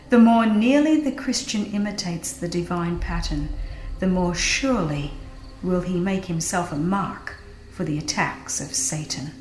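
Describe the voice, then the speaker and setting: A woman reads a scripted religious audiobook in a solemn, earnest and measured delivery. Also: fairly low pitch, a little mellow. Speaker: audiobook narrator. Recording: roomy echo with music behind. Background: music.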